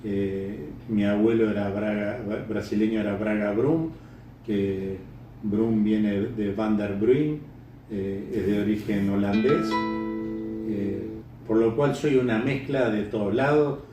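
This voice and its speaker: A middle-aged Uruguayan man answering interview questions off the cuff, halting and repeating words as he thinks. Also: fairly low pitch, over music. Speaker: interviewee, bird breeder. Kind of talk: unscripted interview answers, reminiscing. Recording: echoey room, distant mic, faint noise.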